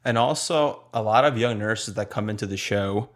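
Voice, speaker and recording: A man from Poland with a Polish accent, co-hosting a nursing podcast in casual, blunt chat and slowing down to stress his points. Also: lowish voice. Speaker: nurse podcast co-host.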